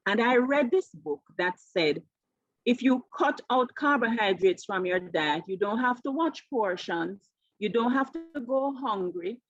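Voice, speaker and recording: A woman's voice: on the high side, animated, a little slow, dry recording, muffled recording. A woman in her fifties with a Jamaican accent, telling her personal story conversationally as an interview guest. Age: 50s. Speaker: interview guest, keto coach.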